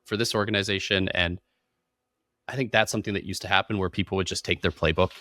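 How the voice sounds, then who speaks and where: Man, podcast host, forceful bright tone, dry close sound, informal business chat. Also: deep voice, steady tone, fast, dry recording. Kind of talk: casual business interview chat.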